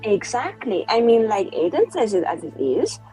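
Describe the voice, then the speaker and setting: A woman chatting in character during a tabletop roleplaying game, animated, acting out the lines of the people she quotes. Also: fairly high pitch, moderate pace, over music, poor audio. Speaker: player. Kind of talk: casual in-character roleplay chat.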